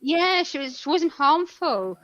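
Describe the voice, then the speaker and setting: High-voiced woman, dry sound. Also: big pitch swings, a little slow, fairly bright tone, no room sound, muffled recording. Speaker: podcast guest. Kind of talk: casual personal storytelling.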